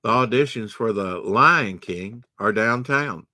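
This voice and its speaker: Dude doing a cocky joking young superhero, punchy storybook voice. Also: male voice, fairly low pitch, takes it slowly, sharp tone, dry recording, studio quality, heavily acted. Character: wisecracking young male superhero.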